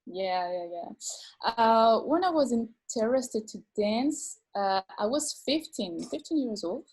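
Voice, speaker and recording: Slow-talking woman with hesitant speech. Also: a little high, expressive, darkish tone, breathy edge, low-quality recording. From France. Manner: hesitant, many fillers and repeated words. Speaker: dancer and dance teacher. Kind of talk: video call interview.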